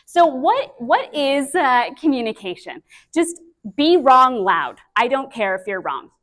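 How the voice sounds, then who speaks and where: A woman in her thirties, a speech-language pathologist giving a lively, chatty conference talk on a microphone, with many fillers. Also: high voice, measured pace. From Canada. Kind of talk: conference presentation. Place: conference breakout room. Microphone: handheld or stand mic with feedback.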